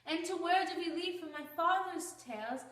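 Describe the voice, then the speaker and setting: A woman's voice: very high voice, normal speed, fairly bright tone. A woman playing a young romantic wife in an earnest, declamatory Shakespearean-style stage soliloquy. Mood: troubled, pensive. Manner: declamatory, earnest, archaic phrasing. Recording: roomy, distant microphone.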